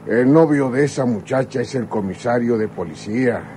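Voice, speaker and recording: A man's voice: mid-range voice, moderate pace, mellow, slight background noise, lightly acted. A man playing a wary, disapproving outlaw in a radio serial. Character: disapproving, wary male outlaw gang member. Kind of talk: radionovela acting.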